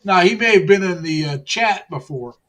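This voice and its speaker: A man's voice: high-pitched, lively intonation, moderate pace, a bit bright. A man hosting a livestream in casual group chat, greeting guests and reading out viewer comments. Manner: chatty, reads out viewer comments. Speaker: livestream host.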